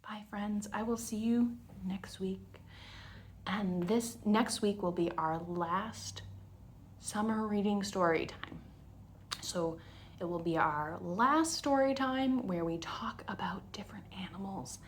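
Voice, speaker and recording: A woman's voice: mid-range voice, slow pace, a little hiss or hum. A woman hosting a story time for young children, explaining things in simple, instructional phrases.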